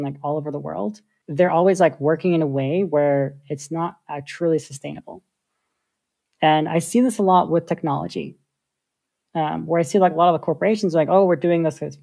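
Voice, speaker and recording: Gravelly, husky woman. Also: deep voice, mellow. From United States. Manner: thoughtful, many filler likes. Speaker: guest, software engineer. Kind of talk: casual interview talk.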